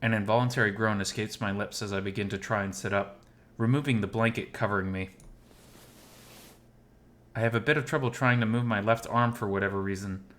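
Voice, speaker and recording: A man voicing a lovesick, gently self-mocking male animal character in first-person visual novel narration, intimate and wistful. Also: lowish voice, steady tone, a little fast, natural voice. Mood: pained, puzzled.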